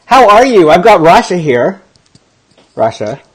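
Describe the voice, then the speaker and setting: A man hosts a casual interview, his husky voice swinging up and down with upbeat energy. He sounds friendly and animated as he asks light questions. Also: sits high, a little slow, poor audio. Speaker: host.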